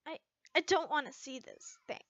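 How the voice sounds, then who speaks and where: A woman's voice: high-pitched, moderate pace, a bit bright, no room sound, lo-fi sound. A woman voicing a flustered, stubborn teenage heroine in a story, hesitant and defensive.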